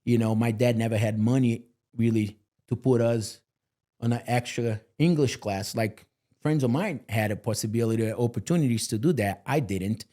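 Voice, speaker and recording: Male guest, mellow and earnest, motivational, filler-heavy. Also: medium pitch, normal speed. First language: Portuguese. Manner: earnest, motivational, lots of "you know" fillers. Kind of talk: candid podcast interview.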